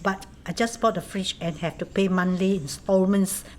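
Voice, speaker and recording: A woman's voice: medium pitch, moderate pace, close to an everyday voice. A woman acting in a radio drama as a kind, practical neighbour who keeps the peace, with a Singaporean accent and a firm, soothing manner.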